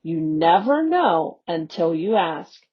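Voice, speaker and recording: A woman on an education staff reading a youth newsletter aloud in a slow, expressive storybook manner. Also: on the low side, slightly rough. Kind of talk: read-aloud for young learners. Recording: dull lo-fi audio.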